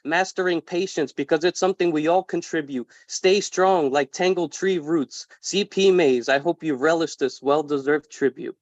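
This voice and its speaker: A man's voice: on the high side, moderate pace, few pauses, dry recording. Man reciting rhyming poetry, lo-fi. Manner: rhythmic rhyming delivery. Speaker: poet. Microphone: video call. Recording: dry, dull lo-fi call audio.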